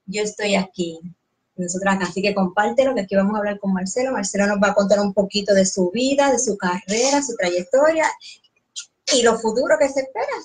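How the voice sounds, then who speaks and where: A woman's voice: mid-range voice, a little fast, slight background noise, poor audio. A woman in her thirties with a Puerto Rican accent hosting a live stream, chatting and greeting viewers in a small room. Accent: Puerto Rican Spanish. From Puerto Rico. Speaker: host. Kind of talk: live stream host chat. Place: small indoor studio room.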